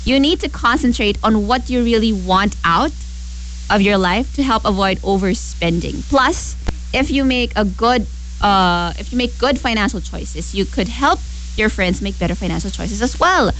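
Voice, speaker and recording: A woman's voice: medium pitch, expressive, normal speed, a bit bright, a little hiss or hum, rough recording. A young woman hosting a radio show, chatting casually and putting questions and advice straight to listeners. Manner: conversational, addresses listeners with questions. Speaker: radio host.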